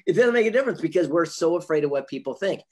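A husky man in his fifties speaks as a motivational guest on an online interview. His voice sits a bit high for a man, and he tells anecdotes with emphasis, playing out both sides of the dialogue. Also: expressive, racing. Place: indoor home office with memorabilia wall. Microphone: video call, computer mic.

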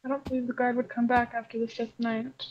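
A woman's voice: on the high side, level tone, fairly quick, darkish tone, close to an everyday voice. A woman voicing a weary, grumpy animatronic puppet in an improvised game roleplay, curt and sardonic. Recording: dull lo-fi game mic.

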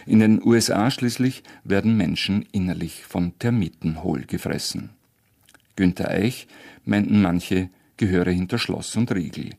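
A man's voice: low-pitched, a little slow, gravelly, darkish tone. A man hosting a radio programme with scripted narration. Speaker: radio host.

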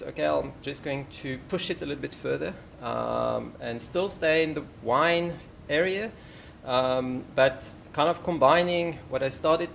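A man in his forties with a French accent, giving a formal university lecture at a podium microphone in a large lecture hall, earnest and often pausing with ums. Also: on the high side, vocal fry, slight background noise. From France. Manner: earnest, warm asides, frequent ums. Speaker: professor giving inaugural lecture.

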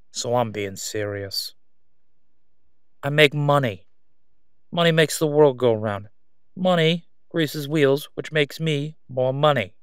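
A man acts out a middle-aged crime boss for an audio drama, sly and self-assured. He draws out his words with mocking condescension, smooth-talking his way along. The sound is close and dry. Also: on the high side, dry recording. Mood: exasperated, forceful. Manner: sarcastic, smooth-talking, drawn-out condescending delivery. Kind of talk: roleplay audio drama monologue.

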